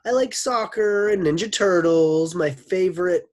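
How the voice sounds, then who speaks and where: A man voicing a small, energetic young schoolgirl who is bubbly and eager. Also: sits high, unhurried, pitched above the performer's own voice.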